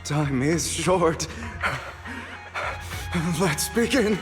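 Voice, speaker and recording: A man playing a proud, composed schemer in a video game, speaking in a measured, dramatic and gentlemanly way. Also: fairly high pitch, animated, in a large hall, over music. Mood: strained, defiant.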